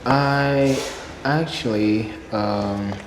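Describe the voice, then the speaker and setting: A man's voice: medium pitch, extremely slow. A man from Burundi whose first language is Kirundi, answering interview questions in an earnest way and repeating key phrases for emphasis. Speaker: interview guest, English learner. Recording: echoey room with long reverb, faint noise.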